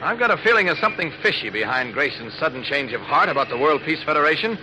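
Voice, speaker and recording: A man's voice: fairly high pitch, very animated, fast, background noise. A man playing an earnest, persistent newspaper reporter in 1940s radio style, urgent and reasoning. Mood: insistent. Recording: old radio recording.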